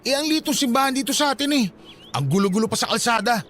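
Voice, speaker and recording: A man's voice: extremely high pitch, expressive, quick pace, a bit bright, slight background noise. A man playing a boastful, dismissive young Filipino husband and new father, insistent and argumentative, in a radio drama. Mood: eager, insistent.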